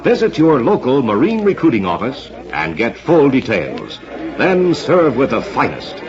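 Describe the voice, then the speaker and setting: A man's voice: mid-range voice, wildly swooping pitch, measured pace, a crowd in the background. A man hosting an old radio program, announcing from a script in a formal, theatrical showman's manner on a muffled vintage recording. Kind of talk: 1940s-50s radio announcing, scripted narration.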